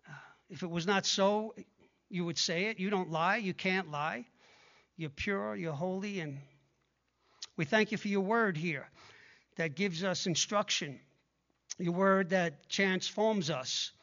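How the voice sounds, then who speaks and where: High bright-voiced older male pastor. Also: measured pace, long pauses, dull audio. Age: 60s. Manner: conversational preaching, drops to a hushed voice in prayer. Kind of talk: sermon with prayer and scripture reading.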